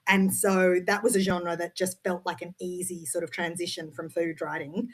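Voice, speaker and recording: A woman's voice: on the low side, little pitch movement, normal speed. A woman giving reflective, conversational answers as an author guest. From Australia.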